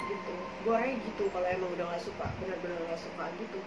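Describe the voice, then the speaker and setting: A young woman chatting casually, hoarse and coughing, rambling with many fillers as she tells her own experiences. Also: on the low side, racing. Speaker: podcast co-host telling her own experiences. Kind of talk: casual chat in Jakarta slang. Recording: echoey room, far from the mic, noisy.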